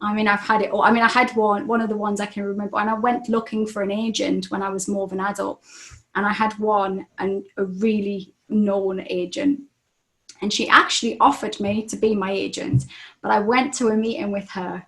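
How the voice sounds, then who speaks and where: A woman's voice: mid-range voice, flat delivery, fairly quick. A woman with a Geordie accent, a guest on a podcast interview, talking frankly and outspokenly with casual swearing.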